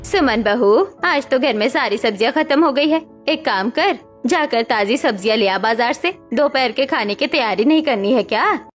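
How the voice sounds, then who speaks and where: A woman's voice: a little high, expressive, normal speed, soft background music, close to an everyday voice. A woman voicing a strict, bossy older mother-in-law who scolds and gives orders. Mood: stern. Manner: scolding, commanding.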